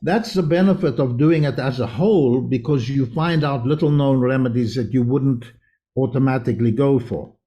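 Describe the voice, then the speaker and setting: A man from South Africa who grew up in Israel talks as an interview guest. His voice is soft and dark in tone, and he explains things earnestly in long, winding answers. His pitch sits at an ordinary middle level for a man. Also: normal speed, highs cut off. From South Africa, raised in Israel. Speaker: guest homeopath. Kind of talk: conversational interview.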